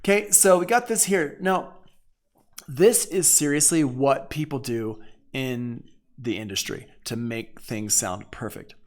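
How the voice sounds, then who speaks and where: A man giving a casual live audio software tutorial as an instructor, explaining in a conversational way and repeating points for emphasis. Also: medium pitch, a little slow. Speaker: music production instructor.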